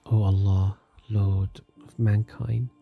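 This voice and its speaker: Man, meditation guide, even and low-key delivery, creaky fry in the voice, soothing, gentle, long pauses. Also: phone-quality sound. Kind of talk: guided meditation, soft and soothing scripted narration. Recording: music behind the voice. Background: soft music.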